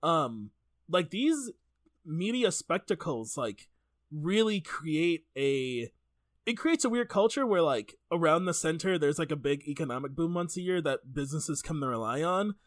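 A man's voice: a little high, very animated, unhurried, no room sound, studio quality. A man hosting a casual podcast, telling stories in an informal, jokey, sweary way. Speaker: podcast host. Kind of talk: casual chatty podcast storytelling.

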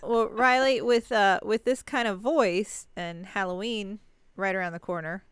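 Smooth-voiced chatty woman, laughing. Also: medium pitch, steady tone, a little slow, no room sound, clean studio sound. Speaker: podcast co-host. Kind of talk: casual chat.

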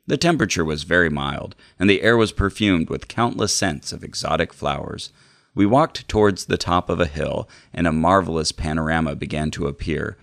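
Low-pitched, gravelly man hosting a podcast. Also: normal speed, a bit bright, no room sound, clean studio sound. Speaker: podcast co-host. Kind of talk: hosting chat and dramatic reading aloud of book passages.

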